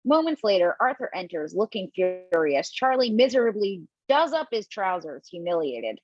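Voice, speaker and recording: A woman reading the stage directions in an amateur table read, brisk and quick to laugh at her own slips. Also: mid-range voice, sing-song, muffled recording. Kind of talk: amateur table read over video call.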